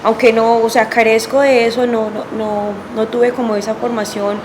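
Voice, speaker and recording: A Colombian woman from Cali with a Cali accent, a teacher asking a hesitant, halting question from the audience. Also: a little high, flat delivery. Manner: hesitant, halting, many restarts. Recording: quiet, noisy, off-mic.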